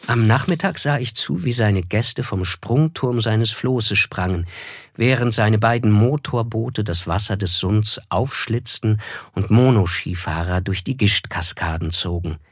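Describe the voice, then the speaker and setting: An actor narrating a German literary radio play as an observant, reflective writer, measured and wry, with music behind him. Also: male voice, on the low side.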